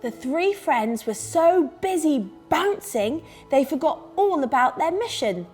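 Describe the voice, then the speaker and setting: A woman reading a scripted story to young children with expressive, dramatised delivery. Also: sits high, a little slow. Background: music under the reading.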